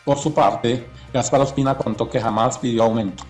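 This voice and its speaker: A man's voice: medium pitch, fairly even intonation, moderate pace, a little mellow, soft background music. A man reading scripted documentary narration.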